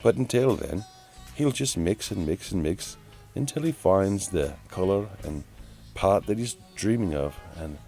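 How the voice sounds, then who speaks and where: A man's voice: deep voice, darkish tone. A man voicing a flamboyant, theatrical announcer who muses grandly and teasingly in a mock play-by-play for an online video. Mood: wry musing. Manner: grandiose, drawn-out, musing aloud, mock-dramatic.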